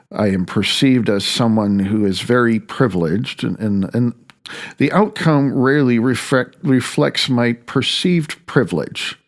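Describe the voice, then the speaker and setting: Creaky-voiced man reading his audiobook. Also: fairly low pitch. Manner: halting, stumbles over words. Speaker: author reading own audiobook. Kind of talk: scripted first-person audiobook narration.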